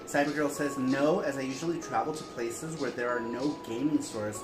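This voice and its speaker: A man's voice: mid-range voice, steady tone, normal speed. Man, co-host, casual chat, reads viewer letters aloud, echoey room, music behind. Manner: playful, laughs often, reads long letters aloud. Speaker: co-host reading viewer answers.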